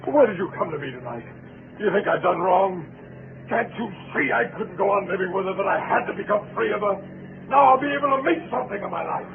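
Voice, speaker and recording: An actor playing an anguished, haunted husband with a guilty conscience in a 1940s radio drama, agitated and pleading. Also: male voice, high-pitched, animated, quick pace, gravelly, narrow, tinny audio. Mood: pleading, desperate. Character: anguished, guilty husband who killed his wife, haunted and jumpy. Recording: scratchy band-limited 1940s radio recording.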